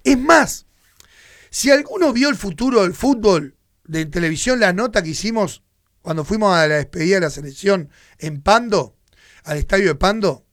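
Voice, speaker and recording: A man's voice: high voice, lively intonation, moderate pace, fairly bright tone, dry recording, studio quality. Fired-up Uruguayan man ranting on radio. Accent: Uruguayan Spanish. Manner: heated, indignant ranting. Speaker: radio sports show host. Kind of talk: opinionated sports commentary. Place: radio studio.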